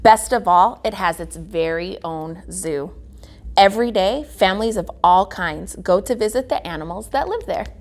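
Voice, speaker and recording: A woman reading a children's picture book aloud as a guest reader, phrasing it deliberately with emphasis. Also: medium pitch, expressive, a little mellow. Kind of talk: children's storybook read-aloud.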